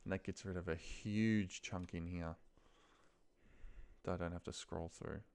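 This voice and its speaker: A man's voice: low-pitched, steady tone, measured pace, creaky, darkish tone, clean tone. A man giving a casual screen-share walkthrough, thinking aloud and muttering to himself in short phrases. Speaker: office trainer showing a data task. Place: indoors at a computer, screen recording.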